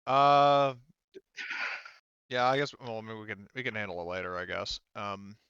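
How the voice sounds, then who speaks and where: Bright, clear male game master, muffled audio. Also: fairly low pitch, steady tone, moderate pace, dry recording. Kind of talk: running and narrating a tabletop game.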